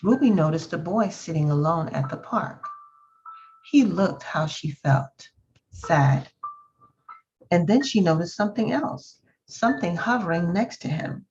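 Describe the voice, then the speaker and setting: A woman's voice: on the low side, animated, unhurried, a little mellow, a little hiss or hum. A woman storyteller reading a children's picture book aloud, with a slightly dull recording. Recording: dull lo-fi audio with music. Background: faint music.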